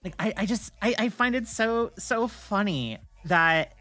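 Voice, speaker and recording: A woman's voice: mid-range voice, expressive, unhurried, a bit bright, faint music behind. A woman streamer chatting casually and animatedly, swearing freely as she talks. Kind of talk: casual livestream chat.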